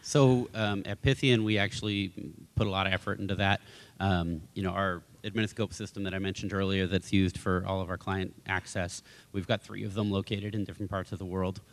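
A man's voice: fairly even intonation, fairly quick. A man speaking as a panelist at a conference, talking in a conversational way with many fillers and restarts. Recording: long hall reverb, faint rumble.

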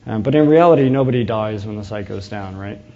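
New england guy giving a conference talk, keeps saying uh and restarting, warm low-key voice, kinda muffled recording. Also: fast talker. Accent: New England American. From New England, USA. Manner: hesitant, many "uh" fillers and restarts. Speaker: conference speaker, engineering manager.

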